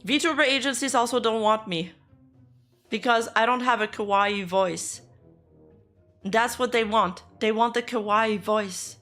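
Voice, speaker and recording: A woman's voice: fairly high pitch, a little slow, fairly bright tone, soft background music. Blunt, swearing woman streamer. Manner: blunt, sarcastic, swears freely. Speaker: VTuber streamer. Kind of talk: casual reaction commentary.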